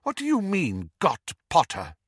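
A man's voice: medium pitch, wildly swooping pitch, a little slow, fairly bright tone, no echo, clean studio sound, pitched above the performer's own voice, full character voice. A man voicing a stern, dignified female professor of witchcraft, precise, formal and icily impatient, in an audiobook. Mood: sharp.

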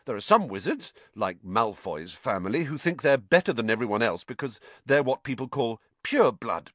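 A man reading an audiobook, voicing a hoarse, weak schoolboy. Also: mid-range voice, sing-song, normal speed, a bit bright, dry recording, pitched above the performer's own voice. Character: boy, sick schoolboy coughing up slugs.

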